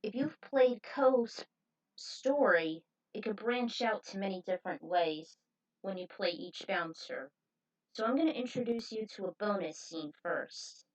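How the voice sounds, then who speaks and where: A woman's voice: medium pitch, lively intonation, unhurried, dry recording, poor audio. A woman hosting with casual commentary and reading a story she has written out. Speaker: host and fan fiction reader.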